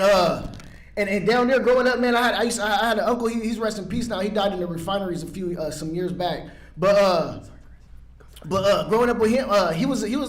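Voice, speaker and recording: High-voiced man rambling through his story. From San Pedro, California. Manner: casual, rambling, many restarts and fillers. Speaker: recovery meeting speaker. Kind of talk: personal recovery story.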